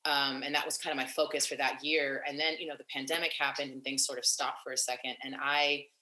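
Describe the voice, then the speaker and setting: A young woman activist talking in an interview, animated and long-winded with many fillers and restarts. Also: rumbling low, fast, echoey room, low-quality recording. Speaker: activist and nonprofit founder. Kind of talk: casual interview conversation.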